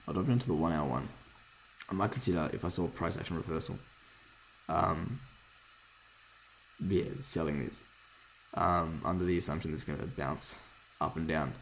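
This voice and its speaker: Hoarse low-voiced man rambling. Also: normal speed, long pauses, a little hiss or hum, rough recording. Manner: rambling, swears freely, self-correcting. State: hoarse, lost voice from screaming. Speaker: forex trader reviewing charts. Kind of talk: casual thinking-aloud chart review.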